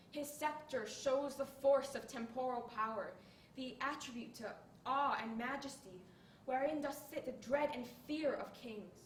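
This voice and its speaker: A teenage girl playing a poised young noblewoman, reciting a measured, earnest speech on mercy, recorded from afar in an echoey hall. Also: high-pitched. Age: teens. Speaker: student in a monologue contest. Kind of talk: stage monologue recitation. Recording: echoey room, distant mic, faint hiss.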